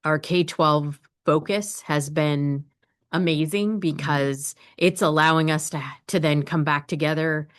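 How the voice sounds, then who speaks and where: A woman school administrator answering a board question in an earnest, steady way. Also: low voice, a little slow. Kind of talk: meeting report.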